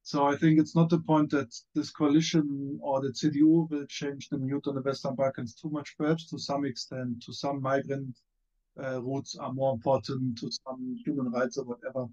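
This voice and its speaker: A man's voice: mid-range voice, warm tone, muffled recording. A man with a German accent speaking on a video call panel, hesitant, with many fillers and restarts. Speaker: panelist, former member of parliament. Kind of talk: online panel discussion.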